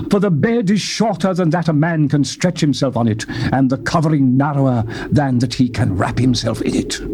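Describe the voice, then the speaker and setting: Declaiming British man reading scripture. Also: a little mellow. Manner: declamatory, theatrical, with sweeping rises and falls in pitch. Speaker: Bible reader. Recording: noisy old tape recording.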